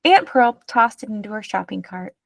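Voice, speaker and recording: A woman reading a children's picture book aloud as an expressive narrator. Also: mid-range voice, moderate pace, vocal fry, no echo, clean studio sound.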